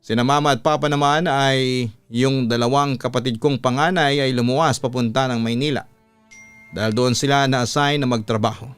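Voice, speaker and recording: A Filipino man narrating a scripted horror story as a storytelling host, in a measured, deliberate way with drawn-out words. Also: medium pitch, steady tone, a bit bright, smooth, no room sound. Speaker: radio announcer and storyteller, host. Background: background music.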